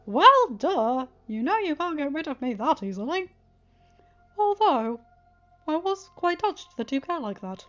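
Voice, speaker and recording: A woman's voice: high-pitched, big pitch swings, voice pushed up, exaggerated voice. Woman voicing a smug trickster chaos spirit. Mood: gloating. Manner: teasing, drawn-out, loud. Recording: slightly muffled with faint music.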